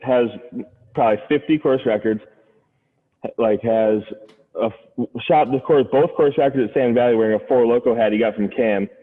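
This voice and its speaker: A man's voice: medium pitch, normal speed. A man in casual, slangy, rambling podcast chat as a guest. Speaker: podcast guest, former golf caddie. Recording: phone-like, thin band-limited sound.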